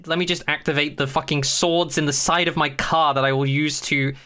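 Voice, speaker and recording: A podcast co-host chatting casually about a TV show in an animated, incredulous, sweary way. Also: medium pitch, brisk, clean tone.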